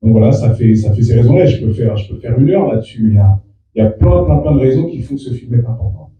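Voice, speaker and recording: A man, a native Fula speaker, answering press questions as an actor in a halting way with many restarts. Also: mid-range voice, animated, narrow, tinny audio. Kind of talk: press interview. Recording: echoey room from far off.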